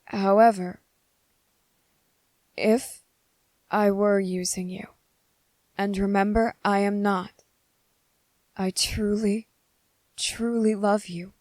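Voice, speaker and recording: A woman voicing a possessive, lovesick male vampire in an intimate ASMR roleplay, speaking tenderly and haltingly, with coaxing deliberation. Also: mid-range voice, flat delivery, a little mellow, no room sound, close to an everyday voice. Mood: devoted, quietly intense. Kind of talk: ASMR character roleplay, intimate scripted acting.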